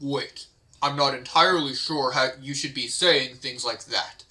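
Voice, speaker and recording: A man's voice: medium pitch, unhurried, sharp tone. A man giving casual, joking commentary during a table read.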